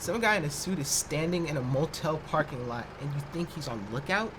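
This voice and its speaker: A jumpy, sarcastic teenage runaway played by an actor in an audio drama. The pitch swings widely through skeptical, questioning lines, with noise behind the voice. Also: expressive, a little fast, lightly acted. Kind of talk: audio drama acting, conversational.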